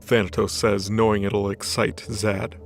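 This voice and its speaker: A man's voice: fairly low pitch, flat delivery, unhurried. A man reading scripted fiction as the narrator of a story. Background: background music.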